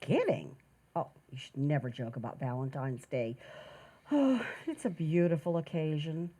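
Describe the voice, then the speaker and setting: Woman acting a bashful, dreamy, animated maid. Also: low-pitched, normal speed. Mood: wistful. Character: bashful, romantic, sentimental household maid. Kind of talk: 1940s radio comedy acting.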